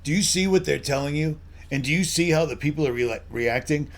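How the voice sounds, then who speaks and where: A man's voice: fairly high pitch, a little fast. A middle-aged American man giving an off-the-cuff solo commentary, blunt and insistent, often putting rhetorical questions to the listener. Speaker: online channel host.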